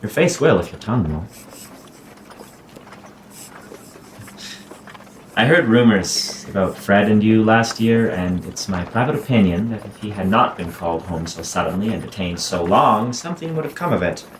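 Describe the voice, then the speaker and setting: A man playing an idle, lovelorn young gentleman in a period audio drama, bantering wryly with a wounded edge. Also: on the low side, animated, fairly quick, hardly any pauses, slight background noise, close to an everyday voice, low-quality recording. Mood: curious, probing.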